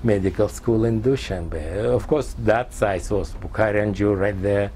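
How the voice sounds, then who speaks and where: Older man, mellow and low. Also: slight background noise. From Tajikistan. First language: Persian. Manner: halting non-native English in short fragments. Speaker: interviewee. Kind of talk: oral history interview.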